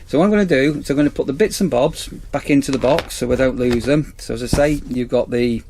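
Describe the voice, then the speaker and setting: A man's voice: medium pitch, brisk, darkish tone, slight background noise. A man in a campsite warden role, chatting casually outdoors as he reviews a torch on an action camera. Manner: chatty, rambling, repeats "as I say". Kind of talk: casual product review vlog.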